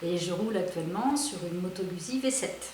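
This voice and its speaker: A woman's voice: on the low side, animated, a little fast, a little mellow, a little hiss or hum. A woman in her mid fifties, a motorcyclist giving straightforward interview answers. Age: 50s. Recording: roomy sound.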